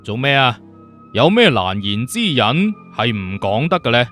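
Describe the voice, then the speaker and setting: 一個男人用粵語有聲小說嘅演法，扮演一個自信、直率、咄咄追問又冇耐性嘅刑偵顧問。. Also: male voice, medium pitch, big pitch swings, a little slow, fairly bright tone, soft background music. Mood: probing. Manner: assertive, questioning, direct. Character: self-assured, blunt detective consultant, probing and impatient. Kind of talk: Cantonese audiobook character acting.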